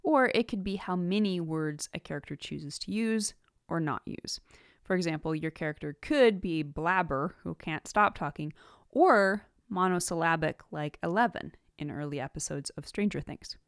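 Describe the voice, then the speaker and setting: A woman podcast host reading a scripted instructional piece in a measured, articulate way, close to the mic. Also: lowish voice, clean tone, no echo. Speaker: writing podcast host and author.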